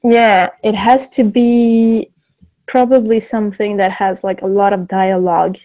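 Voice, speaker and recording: A woman from Sweden, whose first language is Swedish, co-hosting a casual live-stream chat, talking in quick animated bursts and laughing often. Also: medium pitch, low-quality recording. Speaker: podcast co-host.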